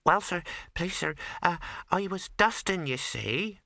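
A man voicing a tiny, nervous young maid who speaks haltingly and deferentially in a storybook reading. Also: sits high, lively intonation, gravelly, sharp tone, no echo, studio quality, pitched above the performer's own voice, heavily acted.

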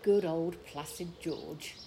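A woman's voice: low-pitched, slow, background noise. A woman acting in an audio play as a prim, easily offended older English village wife, scolding with precise, haughty British diction.

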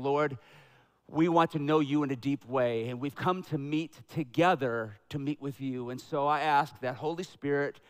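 A middle-aged man pastoring a church, preaching in a conversational, energetic style that builds to loud emphatic peaks, on a headset mic. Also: medium pitch, clean tone. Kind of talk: sermon with announcements and prayer. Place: church sanctuary.

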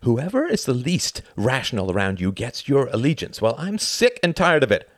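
A man's voice: low-pitched, moderate pace, a little mellow, no room sound, clean studio sound. A man voicing a sardonic, articulate male friend in an audiobook, reasoning and lecturing with emphatic exasperation. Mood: exasperated anger.

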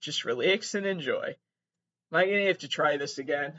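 A man giving casual comedic commentary as he reads messages aloud, halting and sweary, with exasperated asides. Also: fairly high pitch, sharp tone, dull audio.

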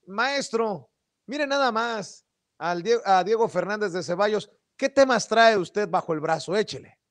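A man's voice: high voice, a little slow, dry recording. A man with a Mexican accent hosting a political talk show, giving colloquial, emphatic news commentary straight to his listeners.

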